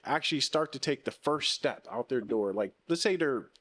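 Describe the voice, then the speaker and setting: A man's voice: mid-range voice, expressive, a bit bright. Emphatic man in his forties. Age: 40s. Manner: emphatic, asks pointed questions, measured pace. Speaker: podcast co-host. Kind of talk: casual podcast conversation.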